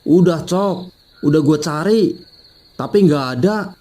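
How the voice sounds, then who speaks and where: A brash young male student from a cartoon, talking in loose, slangy banter with a fairly high voice, as a man acts him out. He pushes ahead boldly and tells his friend what to do. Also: a little slow, mellow. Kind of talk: cartoon voice acting.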